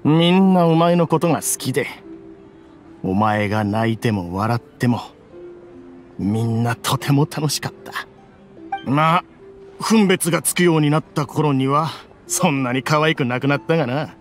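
A man's voice: medium pitch, animated, measured pace, pauses often, lightly acted. An actor playing an aging, ailing desert mercenary father, reading a sentimental and boastful letter in an anime game. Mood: wistful. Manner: rough, boastful, sentimental masculine speech. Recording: background music.

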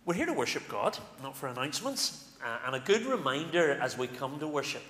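A middle-aged man, speaking as a minister at a lectern in a large church, giving announcements and a call to worship with pauses and fillers. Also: fairly high pitch, big pitch swings. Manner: warm pulpit delivery with pauses and fillers. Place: large church sanctuary, indoors. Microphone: stand microphone at a lectern. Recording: echoey church hall from a distance.

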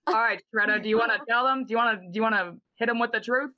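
A woman's voice: on the high side, very fast, dry recording, muffled recording. A young woman hosting a chat show on a video call in a playful, mock-formal, theatrical way. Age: young adult. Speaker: podcast host. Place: indoor room at home, video call.

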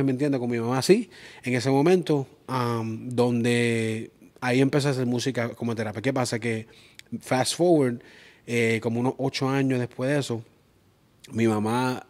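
Thirties man, Puerto Rican accent, hesitant and soft-spoken, guest telling his life story. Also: medium pitch. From Springfield, Massachusetts, of Puerto Rican parents. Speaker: guest, music producer. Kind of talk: personal storytelling in interview. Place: purple-lit indoor studio set.

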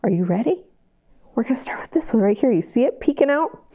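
A woman librarian reading picture books aloud at family storytime, animated and sing-song, gasping with delight and asking young listeners questions. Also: a little high, quick pace. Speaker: children's librarian.

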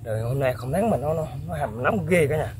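A young man excitedly commenting to viewers while fishing outdoors, exclaiming often. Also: a little high, brisk, background noise. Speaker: fishing vlogger. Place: outdoors in fields.

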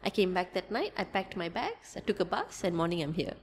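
Young woman guest, rapid animated code-switching chat, faint room noise. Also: fairly low pitch. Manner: fast, animated, mixing Tamil and English, many fillers. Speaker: tour guide guest. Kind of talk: casual podcast conversation. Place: small indoor room at a table. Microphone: desk podcast mic.

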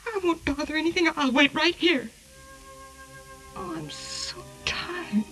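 A woman's voice: high-pitched, unhurried, music in the background. An actress playing an exhausted, meek young woman in a 1940s radio drama. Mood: drowsy, weary.

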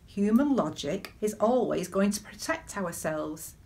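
A middle-aged woman speaks at a medium pitch, as a deacon leading worship from home. Her delivery is measured and conversational, moving between everyday anecdote and reflective preaching, with rhetorical questions to the listener. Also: lively intonation, dark tone. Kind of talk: conversational sermon with personal anecdotes. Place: small living room at home, indoors.

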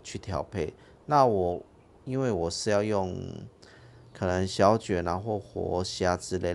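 Low gravelly man explaining fishing gear. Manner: plain explanatory, with fillers. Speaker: fishing channel host. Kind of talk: casual how-to narration. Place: outdoors at a fishing harbour by boats.

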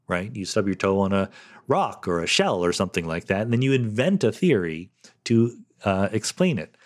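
Low-voiced man with lively intonation. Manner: candid, explanatory, frequent "um" and "you know" fillers. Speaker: podcast host answering listener questions. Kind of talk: solo podcast Q&A, thinking aloud.

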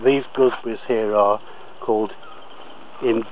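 A man giving a slow, halting outdoor tour of his allotment plot, with many pauses and fillers. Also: on the low side, fairly even intonation, narrow, tinny audio, noisy background. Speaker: allotment gardener.

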